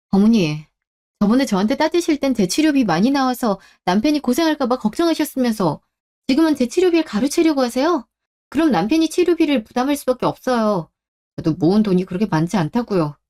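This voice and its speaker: A woman's voice: on the high side, dark tone, lightly acted. A woman voicing a wronged young wife in a radio drama, speaking in a plain, firm manner. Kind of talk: Korean radio-drama story acting.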